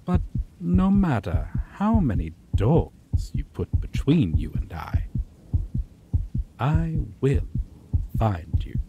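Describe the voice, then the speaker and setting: A man voicing a possessive, menacing male vampire in an ASMR roleplay, talking low and teasing and dropping to whispers. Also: wildly swooping pitch, takes it slowly, long pauses, mellow, dull audio, a little hiss or hum. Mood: taunting.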